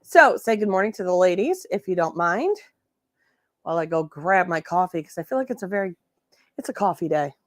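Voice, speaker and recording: Hoarse woman hosting a crafting live. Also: lowish voice, animated, a little fast, dry recording, clean studio sound. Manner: sassy, chatty, self-correcting. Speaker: host. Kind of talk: casual livestream crafting tutorial.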